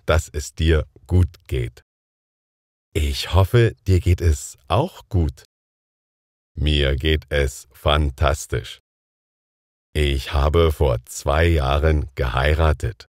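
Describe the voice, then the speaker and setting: Very slow, very deep-voiced man. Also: darkish tone, no echo, studio quality. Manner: slow, carefully enunciated, deliberate. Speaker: dialogue speaker in a language lesson. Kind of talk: scripted everyday dialogue for learners.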